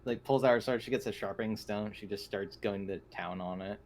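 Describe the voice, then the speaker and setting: A man's voice: on the low side, a little fast, a little mellow. A man chatting casually as a player in an online tabletop roleplaying game. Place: online voice call. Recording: noisy call with keyboard typing.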